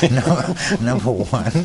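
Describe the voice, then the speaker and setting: A man's voice: mid-range voice, noisy background. An older man, a lawyer whose first language is Italian, talking in a studio interview with restarts and chuckles. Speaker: lawyer guest. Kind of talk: studio talk show interview.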